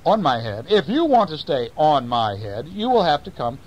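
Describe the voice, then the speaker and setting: A man's voice: on the high side, very animated, normal speed, highs cut off, pitched above the performer's own voice. A man voicing a polite, clever little mouse in a bedtime story. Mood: polite, coaxing. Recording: faint noise, homemade recording.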